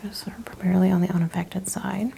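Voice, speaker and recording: A woman gives a whispered ASMR chiropractic exam in a dark, mellow voice with a fair bit of fry. She explains each step calmly and reassuringly. There is faint noise and room ambience behind her. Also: mid-range voice, quick pace. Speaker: ASMR chiropractor role-player. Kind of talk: soft-spoken, whispered ASMR clinical exam role-play.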